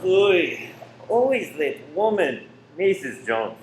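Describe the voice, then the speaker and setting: A man's voice: high-pitched, big pitch swings, slow, full character voice. A man acting an evasive, grumbling husband and cinema owner in an amateur radio play, defensive in manner. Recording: echoey room with faint noise.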